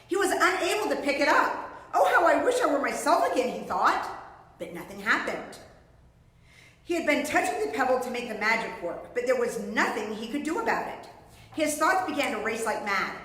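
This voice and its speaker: A woman's voice: a little high, very animated, normal speed, sharp tone. A middle-aged woman reading a picture book aloud to young children, theatrical and explanatory. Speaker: children's storyteller. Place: indoors before a painted storybook backdrop. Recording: very echoey, reverberant room.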